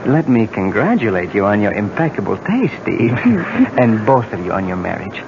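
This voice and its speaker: An actor playing a suave, courtly, chummy old friend in a 1970s radio drama. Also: male voice, on the low side, lively intonation, normal speed, creaky. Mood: charming. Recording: dull, noisy 1970s radio recording.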